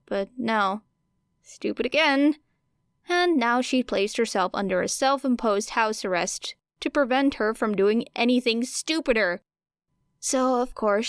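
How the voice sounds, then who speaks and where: A woman reading fiction aloud as an audiobook narrator, in an expressive storytelling style. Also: a little high, no echo, clean studio sound. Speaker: audiobook reader of fan fiction.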